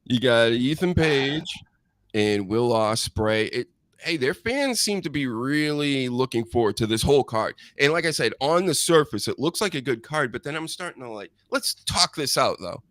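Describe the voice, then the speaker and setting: A man's voice: mid-range voice, expressive, normal speed, fairly bright tone, no room sound, clean studio sound. An American man hosting a casual wrestling podcast, joking and rambling, often laughing. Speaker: podcast host.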